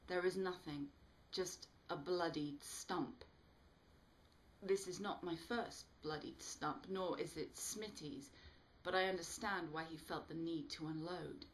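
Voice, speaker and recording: A woman with a slightly low-pitched voice performs as an author reading her own work. Her storytelling is expressive and dramatic, shaped for listeners following a narrative. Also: a little hiss or hum. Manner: animated, dramatic delivery. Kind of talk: expressive storytelling read-aloud.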